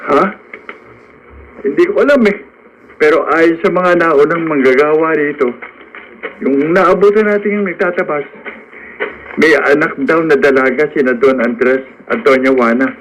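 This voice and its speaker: A down-to-earth farmhand, acted by a man with a slightly high voice, in a radio drama. He talks frankly and skeptically, like a practical friend. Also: normal speed, pauses often, a bit bright, natural voice, rough recording. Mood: curious, chatty. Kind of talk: Filipino radio drama acting.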